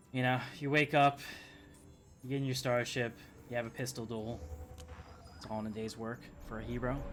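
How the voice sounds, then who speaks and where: A man with a medium-pitched voice gives casual gameplay commentary. He is conversational and jokey, talking over clearly audible game music. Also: fairly bright tone. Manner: conversational, joking. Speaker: gaming video host. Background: video game music.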